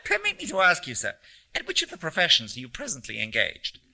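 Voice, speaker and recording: A man voicing a tiny, squeaky-voiced gentleman in a story, quaintly formal and pompously polite. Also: wildly swooping pitch, quick pace, vocal fry, a little hiss or hum, full character voice, low-quality recording. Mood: courteous, impressed.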